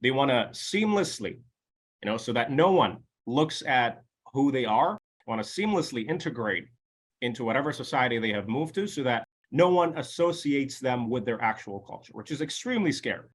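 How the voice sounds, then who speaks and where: A man co-hosting a casual podcast discussion, arguing animatedly and emphatically, with rhetorical questions and quick "no, no, no" asides. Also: mid-range voice, animated, slightly rough. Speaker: podcast co-host.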